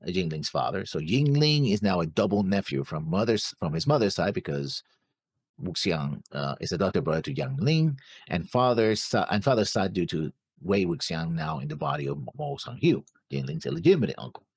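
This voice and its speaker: Casting: male co-host, deep and lively in pitch, halting as he reads and comments casually. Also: creaky, husky, no room sound. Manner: halting, stumbles over names. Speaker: reaction show co-host. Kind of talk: casual chat, reading out viewer comments.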